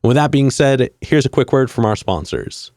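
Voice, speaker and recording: Upbeat chummy podcast host, dry sound. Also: male voice, medium pitch, lively intonation, moderate pace, a little mellow, no echo. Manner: upbeat, chummy, slangy ("rad", "hell yeah"). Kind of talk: casual podcast hosting.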